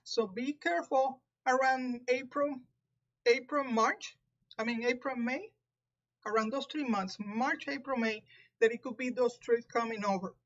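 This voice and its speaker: A woman's voice: a little high, highs cut off. Puerto Rican woman reading tarot. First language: Spanish. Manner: careful, halting, with frequent restarts. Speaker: tarot reader. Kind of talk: live-streamed tarot reading.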